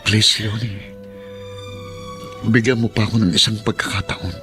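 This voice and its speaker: Man acting remorseful young husband, low voice, humble, Filipino radio drama, light music underneath. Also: fairly even intonation, measured pace, close to an everyday voice. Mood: contrite, pleading.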